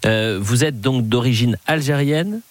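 A man's voice: mid-range voice, unhurried, dry recording. A male radio host in a dry studio booth, with a mid-range voice. He speaks earnestly and strings his thoughts into long, winding sentences. The studio mic keeps him close and free of room sound. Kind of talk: radio talk show hosting. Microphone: studio microphone.

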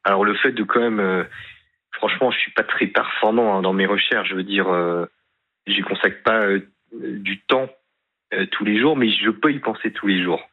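A man calling in to a radio show, speaking hesitantly and haltingly, full of fillers. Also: fairly low pitch. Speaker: caller. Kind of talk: phone-in conversation. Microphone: telephone. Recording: tinny phone line.

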